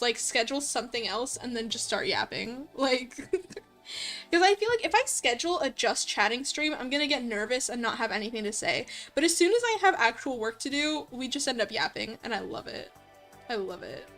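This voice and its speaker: A woman with a high, bright voice chats casually to her livestream viewers. Her delivery is giggly, gushing and full of slang, with music playing softly behind her. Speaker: livestreamer, host. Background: light background music.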